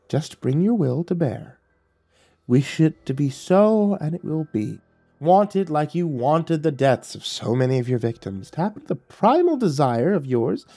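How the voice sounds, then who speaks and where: A man voicing a cruel, mocking male devil in an audiobook, with a taunting, drawn-out delivery. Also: a little high, dark tone, breathy edge, voice pushed up, full character voice. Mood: coaxing. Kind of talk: audiobook character voice.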